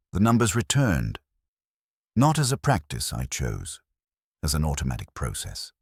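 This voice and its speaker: A man giving a solemn, measured, scripted first-person narration of a dramatic story. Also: deep voice, no echo, studio quality.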